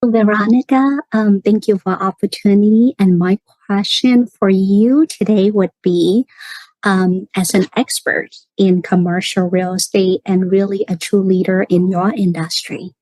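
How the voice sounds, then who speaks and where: A young woman guest on a podcast, asking the host a question in a halting way with many pauses. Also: medium pitch, steady tone, mellow, no room sound, rough recording. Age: young adult.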